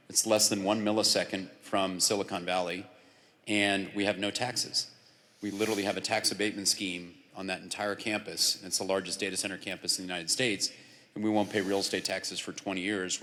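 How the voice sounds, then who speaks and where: A man with a low, forceful voice makes his case on a conference panel at a brisk pace. He talks directly and persuasively. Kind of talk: panel discussion.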